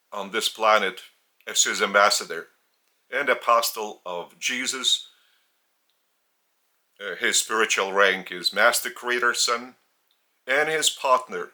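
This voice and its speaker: A man with a Lithuanian accent, a native Lithuanian speaker, giving a spiritual teaching in a deliberate, halting way with emphasis on key words. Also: on the low side, sharp tone. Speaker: spiritual teacher and religious leader. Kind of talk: spiritual sermon addressed to camera. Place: indoors.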